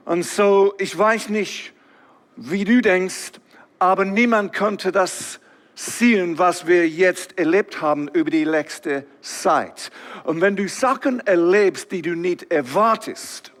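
A man's voice: sits high, takes it slowly, fairly bright tone, in a large hall. A man from England, a native English speaker, preaching in German with an English accent, friendly and searching for words. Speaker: guest preacher. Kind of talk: sermon.

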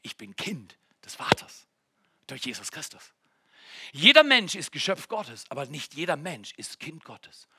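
Male pastor in his 40s, high, very bright voice, dry sound, swings between loud exclamations and near-whispers. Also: huge pitch swings, measured pace, breathy edge, no echo. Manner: addresses the congregation directly with jokes and questions. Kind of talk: sermon. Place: church.

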